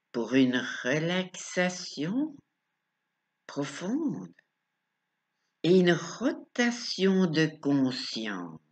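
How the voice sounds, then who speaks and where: A woman leading a guided relaxation as a coach, speaking calmly and instructively in short phrases with pauses. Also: deep voice. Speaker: relaxation coach guiding yoga nidra.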